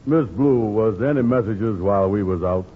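An actor playing a slow-witted, bossy small-time businessman with folksy grammar in a 1940s radio comedy, on a tinny recording. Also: lowish voice, a little hiss or hum, close to an everyday voice. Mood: bossy, grumbling.

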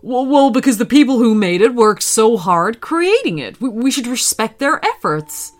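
A woman voicing a cordial, flustered male class teacher in a storybook reading, speaking gently and stammering. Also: fairly high pitch, sing-song, normal speed.

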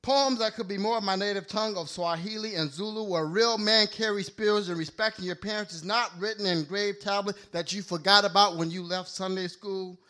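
A man's voice: high-pitched, steady tone, fairly quick, few pauses, sharp tone. A man performing a slam poem live, with a playful, rhyming, list-driven delivery. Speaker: slam poet. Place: live poetry slam venue.

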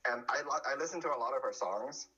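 A man's voice: medium pitch, fast, pushed and bright, lo-fi sound, slight background noise. A man chatting casually as a podcast guest, often restarting his sentences. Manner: hesitant, many restarts and fillers.

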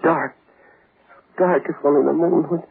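An actor playing a vengeful, grief-maddened man in 1940s radio horror, speaking in halting, melodramatic mutters. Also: fairly high pitch, steady tone, vocal fry, husky, heavily acted. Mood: searching, desperate. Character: vengeful grief-maddened man hunting his wife's killer. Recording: muffled, hissy 1940s radio recording.

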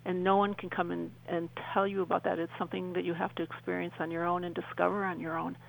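A middle-aged woman explaining earnestly as a radio interview guest, halting and repeating phrases for emphasis. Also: on the low side, flat delivery, creaky, low-quality recording.

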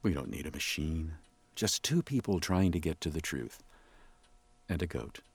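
An actor playing an insistent, deadpan, absurdly serious interrogator in a comic audio drama. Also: male voice, very deep voice, normal speed, husky, dry recording, exaggerated voice. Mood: calm, deadpan. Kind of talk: comedic audio drama acting.

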